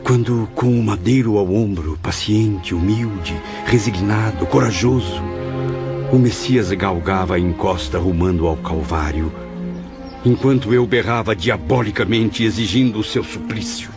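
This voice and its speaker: A penitent male spirit in an audio drama, his voice very low and grave. He speaks in measured, literary phrases, lucid and reflective. The sound is dull and narrow, with music clearly underneath. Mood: solemn, remorseful. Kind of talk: audio drama acting and first-person narration.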